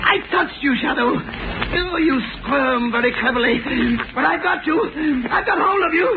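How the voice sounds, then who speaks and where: An actor playing a crazed, vengeful poisoner in 1930s radio melodrama, ranting and taunting. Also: male voice, extremely high pitch, expressive, normal speed, background noise. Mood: enraged, frantic. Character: crazed, vengeful male poisoner with a maniac's strength. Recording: old tinny 1930s radio broadcast, loud music.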